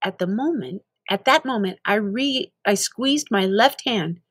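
A woman's voice: mid-range voice, animated, unhurried, slightly rough, dry recording, studio quality. An older woman reading a children's story aloud as narrator. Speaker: story reader.

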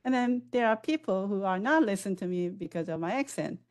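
A woman in her forties with a non-native English accent, speaking on a video-call panel through a headset. She answers in a measured, reflective way with pauses and fillers. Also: medium pitch, expressive.

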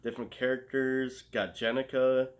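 A man's voice: medium pitch, level tone, normal speed. A man in his thirties chatting casually as a show co-host in a living room, often searching for words. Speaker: co-host of a comic collecting show. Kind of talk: casual chat.

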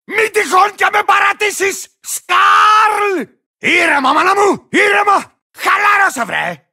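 An actor playing an unhinged, cranky bandit lizard-rider in a video game, boastful and fiercely territorial, with a gravelly, shrill voice and a manic, belligerent manner. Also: male voice, animated, pushed and bright, shouting, exaggerated voice. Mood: frantic, exasperated. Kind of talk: shouted video game character lines.

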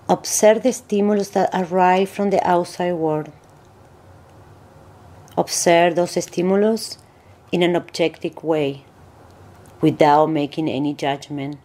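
A woman yoga instructor narrating a guided meditation in measured, instructional phrases with pauses. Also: sits low, fairly even intonation, warm tone.

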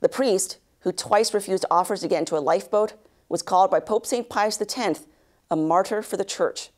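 A woman in her thirties anchoring a news bulletin, reading a script with measured, even delivery in a studio. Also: medium pitch. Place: studio news set, indoors.

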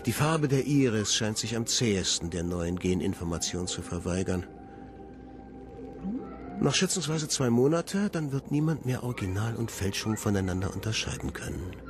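An obsessed scientist in a radio play, confiding his grand vision in a measured monologue. His lowish voice swings with lively intonation over clear background music. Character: obsessed male scientist, solemn and grandiose about his research. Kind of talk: diary monologue in a radio drama.

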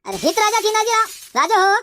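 A performer voicing a haggling, bantering cartoon chilli-market trader, squeaky and folksy, in comedic dialogue. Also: sing-song, brisk, bright, forceful tone, no room sound, exaggerated voice. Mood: firm haggling. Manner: folksy, insistent bargaining. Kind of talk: comedic cartoon dialogue in colloquial rural Marathi.

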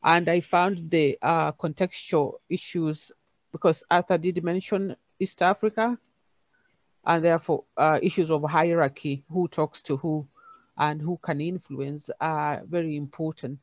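A woman from Kenya with a Kenyan accent, speaking haltingly with many fillers as a panelist on a video call. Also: low-pitched, fairly even intonation, dry recording. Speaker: conference panelist, university lecturer. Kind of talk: unscripted panel discussion. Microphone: video call, camera off.

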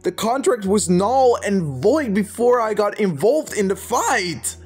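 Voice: mid-range voice, lively intonation, a little slow, darkish tone, breathy edge. A performer voicing an anxious, emotional male king of hell in a fan comic dub. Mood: distraught. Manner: frantic, pleading, easily distressed. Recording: faint music behind.

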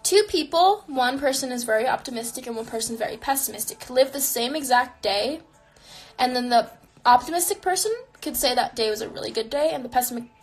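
A woman's voice: high voice, animated, fairly quick. Chatty young woman live-streaming from her bedroom. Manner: chatty, frequent "like" fillers, reflective. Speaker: streamer answering viewer questions. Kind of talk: casual live-stream chat. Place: small bedroom with neon lights, indoors. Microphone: phone camera close to face.

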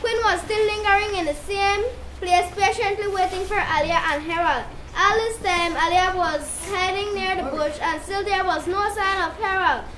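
A woman's voice: very high voice, fairly even intonation, moderate pace, few pauses, fairly bright tone. A female narrator reading a scripted story for an amateur puppet show. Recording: roomy, noisy live puppet show.

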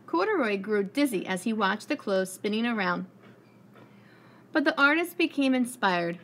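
A woman preschool teacher reading a picture book aloud to young children with expressive storytelling. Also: mid-range voice. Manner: expressive storytelling, pausing to ask the children questions. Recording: clearly noisy room recording.

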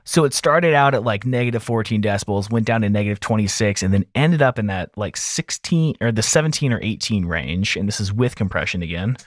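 A man talking informally and thinking aloud as an audio engineer in a software tutorial voice-over. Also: fairly low pitch, expressive, fairly quick, hardly any pauses, dry recording, clean studio sound.

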